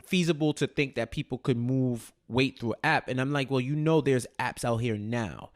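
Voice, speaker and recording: A man's voice: medium pitch, moderate pace. A man co-hosting a casual TV recap discussion, speaking in a colloquial, slangy way and repeating phrases for stress. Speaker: podcast co-host.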